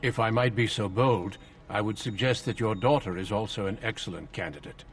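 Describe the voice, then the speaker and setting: An actor playing a solemn, courteous veteran warrior recruiter in a video game, speaking gravely and politely. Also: male voice, on the low side, fairly quick, far from the mic, slight background noise, natural voice. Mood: grave, respectful. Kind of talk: video game dialogue acting.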